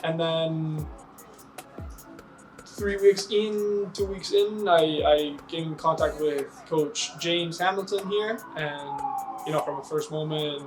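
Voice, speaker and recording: A young Venezuelan man explains things in fluent, easygoing English, dropping in "you know" as he goes. His voice sits a little high for a man, with faint music in the background. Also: takes it slowly, low-quality recording. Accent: Venezuelan Spanish. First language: Spanish. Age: early 20s. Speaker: university soccer player. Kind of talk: documentary interview.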